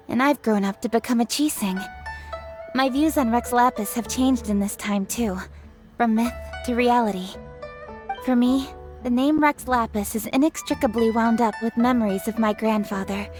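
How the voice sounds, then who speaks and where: A woman's voice: fairly high pitch, close to an everyday voice. A woman voicing an earnest, thoughtful young noblewoman official in a game, formal and articulate. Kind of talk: scripted game voice acting.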